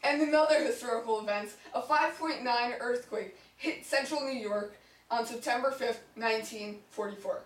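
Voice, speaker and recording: High-voiced young woman in an echoey room. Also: normal speed, distant mic. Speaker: amateur mock newscast anchor. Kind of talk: scripted comedic mock newscast.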